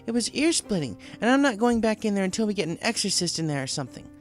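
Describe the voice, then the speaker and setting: A man's voice: sits high, very animated, fast, faint music behind, pitched above the performer's own voice. A man voicing an anxious married female pony in a storybook reading. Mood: frightened.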